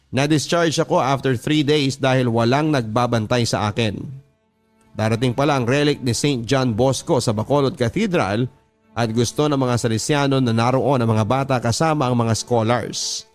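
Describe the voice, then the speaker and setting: A man with a Filipino accent reading a first-person story as a radio drama narrator, in a sentimental manner. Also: mid-range voice.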